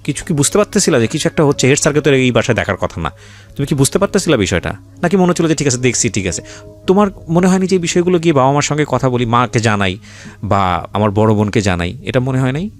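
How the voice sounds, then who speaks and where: A man's voice: medium pitch, animated, quick pace, faint music behind. A man with a Bangladeshi accent hosting an interview, asking probing questions in a directive way and mixing in English words. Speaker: show host interviewing guests.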